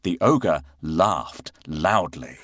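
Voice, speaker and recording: A man's voice: sits low, expressive, very slow, a bit bright, dry recording, studio quality. A man narrating a children's read-aloud story in a theatrical, emphatic way.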